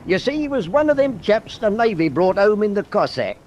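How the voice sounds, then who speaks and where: A man's voice: high voice, sing-song, a little fast, a little mellow. An actor playing a chatty, respectful working-class man in a wartime radio drama. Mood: chatty, animated. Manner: deferential, colloquial, chatty. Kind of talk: mid-century radio drama acting. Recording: dull old radio recording, faint noise.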